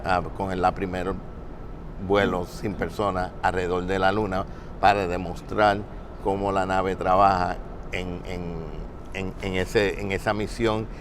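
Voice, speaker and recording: A man, an engineer in a roundtable conversation, who speaks haltingly and repeats words. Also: low-pitched, flat delivery, noisy background. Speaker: NASA engineer.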